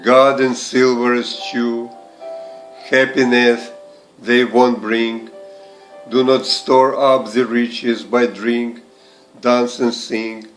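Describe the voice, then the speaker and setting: A man reading verse aloud in a measured recitation, in short deliberate phrases set apart by pauses. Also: medium pitch, little pitch movement, faint music behind, low-quality recording. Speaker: poetry reader and translator. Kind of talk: slow, measured poetry recitation.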